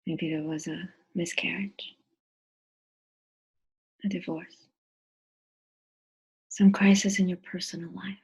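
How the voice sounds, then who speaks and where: A woman leading a guided meditation in a soft, breathy, husky voice, gentle and deliberate, with pauses between phrases. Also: on the low side, steady tone, low-quality recording.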